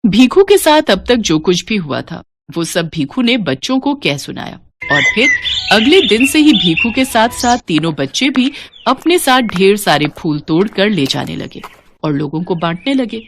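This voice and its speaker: A woman narrating a scripted children's story in an expressive storytelling style. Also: medium pitch, moderate pace, noisy background.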